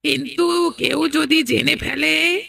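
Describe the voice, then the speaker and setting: A woman's voice: high-pitched, takes it slowly, vocal fry, bright, forceful tone, no room sound, studio quality, heavily acted. A woman voicing a timid, whiny, frightened village boy in a horror cartoon. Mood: fearful, whining.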